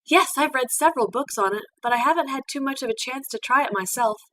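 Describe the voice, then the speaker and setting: A woman's voice: sits high, animated, fast, fairly bright tone, pitched above the performer's own voice. A woman voicing an earnest, eager young female pony princess who stammers and flusters easily, in a storybook reading. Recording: distant mic with background noise.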